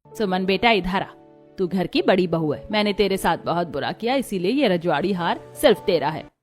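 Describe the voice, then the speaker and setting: A woman's voice: fairly low pitch, animated, moderate pace, close to an everyday voice. A woman playing a scheming, bossy mother-in-law in a theatrical family story drama. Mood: falsely sweet. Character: scheming, commanding middle-aged mother-in-law feigning sweetness. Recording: music behind the voice.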